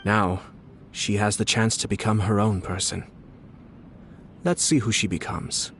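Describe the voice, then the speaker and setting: An actor playing a composed, shrewd young nobleman in a game, courteous and measured, with polished formal speech and a quietly scheming, teasing air. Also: on the low side, steady tone, a little hiss or hum. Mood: calm, calculating. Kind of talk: scripted game dialogue.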